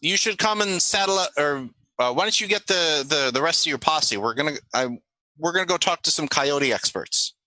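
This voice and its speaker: A man's voice: fairly high pitch, expressive, normal speed, bright, forceful tone, no echo, poor audio. A man voicing a laid-back, teasing desert sheriff in a tabletop game. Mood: dry teasing.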